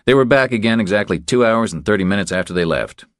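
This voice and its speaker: A man's voice: lowish voice, animated, fast talker, no echo, clean studio sound. A man reading a scripted thriller narration as an audiobook narrator.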